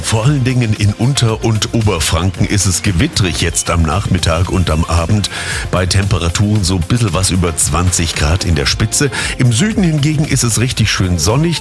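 Male weather presenter, low voice, chatty forecast style, music bed underneath. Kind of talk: conversational weather report.

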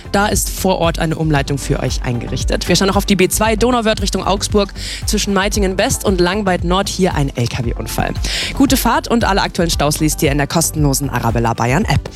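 A woman giving the radio traffic report in a brisk, friendly, informal way. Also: medium pitch.

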